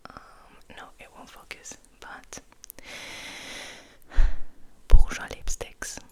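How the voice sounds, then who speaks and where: A woman hosting an ASMR video in a breathy, intimate whisper, rambling casually and softly to the viewer. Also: slow.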